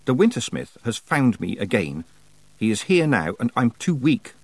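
A young teenage witch, earnest and worried but determined read by a man. The delivery is lightly raised and expressive, in a dry, close storybook recording. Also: mid-range voice, normal speed, dry recording. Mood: anxious. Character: thirteen-year-old girl witch, anxious but resolute.

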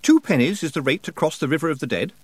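Man playing an earnest young hero, pitched high. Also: lively intonation, fast, dry recording. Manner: firm, earnest, insistent. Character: earnest, stubborn young male hero, literal-minded.

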